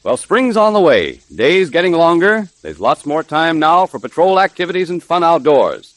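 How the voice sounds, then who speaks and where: Animated 1930s radio announcer, a man. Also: on the high side, moderate pace, no room sound. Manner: emphatic, salesmanlike.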